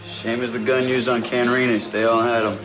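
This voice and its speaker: Actor as laconic detective partner over music. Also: male voice, medium pitch, level tone, fairly quick, natural voice, rough recording. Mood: deadpan. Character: laconic male police detective, wry junior partner. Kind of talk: crime audio drama dialogue. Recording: music behind the voice.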